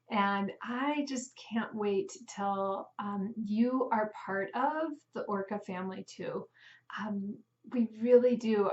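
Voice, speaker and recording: A woman swim instructor giving a heartfelt, casual video message to her students, with frequent ums. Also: on the high side. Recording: roomy, dull sound.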